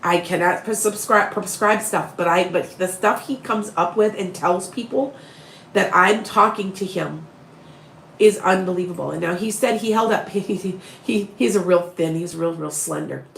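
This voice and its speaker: Woman, raspy, head cold, sniffly, short-winded, rambling live-stream chat, echoey room. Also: lowish voice, normal speed, slight background noise. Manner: rambling, restarts often, talks straight to viewers. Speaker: psychic medium live-streaming to followers.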